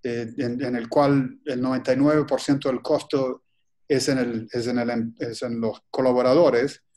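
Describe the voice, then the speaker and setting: A man from Chile giving a webinar presentation over a video call, speaking in a halting, deliberate way with frequent pauses and restarts. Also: medium pitch, steady tone, a little mellow. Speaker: guest presenter.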